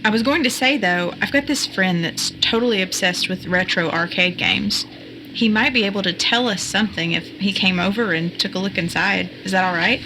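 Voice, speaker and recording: An actress playing a supportive, practical friend in an audio drama, speaking in a casual, tactful, conversational way. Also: female voice, medium pitch, moderate pace, background noise, lightly acted.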